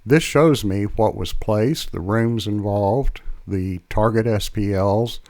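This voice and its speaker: A man presenting a software demo as scripted tutorial narration, in a measured, explanatory way on a close mic. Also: on the low side, no echo.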